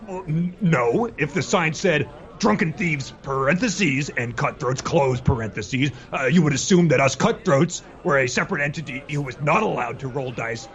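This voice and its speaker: A man's voice: fairly high pitch, expressive, normal speed, hardly any pauses, fairly bright tone, highs cut off. A man voicing an indignant, argumentative cutthroat in a fantasy tavern sketch, incredulous and quibbling.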